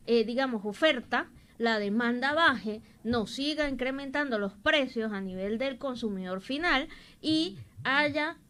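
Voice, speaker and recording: A woman's voice: sits high, a bit bright. A woman presenting and commenting on the news as a radio host.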